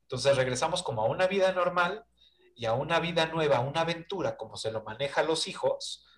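Mexican male film panelist, slightly high-pitched, halting casual delivery. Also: a bit bright. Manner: hesitant, searching for words, often seeking agreement.

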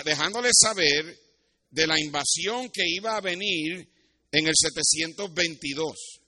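Bright-voiced Puerto Rican pastor preaching. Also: male voice, a little high. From Puerto Rico. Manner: didactic, switches into English asides. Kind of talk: sermon with Bible teaching and personal anecdotes.

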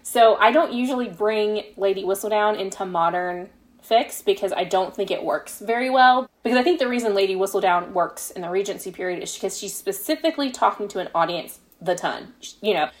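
A woman's voice: medium pitch, a little fast. Woman, interviewed author, casual conversational delivery, explanatory, tentative about plans. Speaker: guest fanfiction author being interviewed. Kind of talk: casual podcast interview chat.

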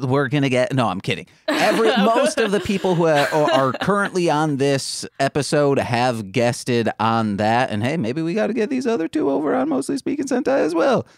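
A man hosting a podcast, rattling off plugs and shout-outs in a jokey, rambling way. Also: a little high, creaky, dry recording, studio quality. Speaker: podcast host. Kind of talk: casual podcast outro with plugs.

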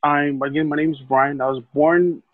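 A man's voice: a little high, fairly even intonation, normal speed, dry recording. A man from Hawaii telling his life story as a podcast guest, rambling with many fillers and restarts. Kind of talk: casual storytelling. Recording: tinny phone-like call line, dry.

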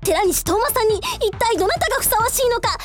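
Female actor as breezy official hostess; very high, lively, theatrically polite announcing for radio drama. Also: brisk, over music. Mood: cheerfully officious. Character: breezy, officious government discussion-room moderator woman. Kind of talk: radio drama narration and acting.

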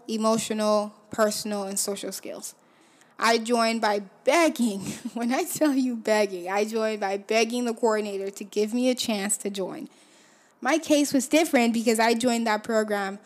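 Soft-trailing young woman telling her story. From Haiti. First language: Haitian Creole. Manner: reflective, often drops to a soft low trail at phrase ends. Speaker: podcast host. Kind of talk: personal storytelling.

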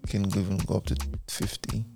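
A man's voice: on the low side, little pitch movement, a little fast, vocal fry, over music. A man with a West African accent, a guest artist in a casual interview, explaining things patiently. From Sierra Leone and Nigeria.

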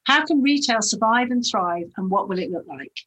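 A woman's voice: mid-range voice, animated, moderate pace, fairly bright tone. A woman hosting a podcast interview, asking questions in an enthusiastic, chatty way. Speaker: podcast host.

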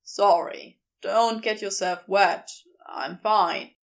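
A woman voices a gruff, irritable man in an audiobook, dropped low with some creak. He is offhand and slangy, brushing people off in short, clipped phrases. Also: fairly even intonation, slow pace. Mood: flustered, brushing off. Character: gruff, casual, irritable, sweary man, dismissive. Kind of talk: audiobook character voice.

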